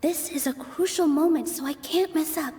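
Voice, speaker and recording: A woman's voice: sits high, normal speed, warm tone, lightly acted. A woman voicing a principled, determined young female theater dancer in a video game, speaking earnestly and articulately. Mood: anxious, resolving.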